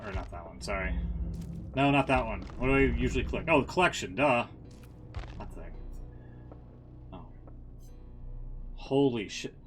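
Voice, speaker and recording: A man talks off the cuff as a game streamer, with music playing behind him. His pitch is average, and he drops wry, muttered asides with some swearing. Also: fairly bright tone. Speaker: game livestreamer. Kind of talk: casual stream chat and improvised reading aloud.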